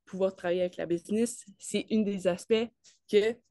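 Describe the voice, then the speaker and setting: A woman's voice: medium pitch, fairly even intonation, brisk, dark tone, no room sound. Young Quebec French woman, dry close sound. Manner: colloquial, enthusiastic. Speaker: interview guest, network marketer. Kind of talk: casual chat.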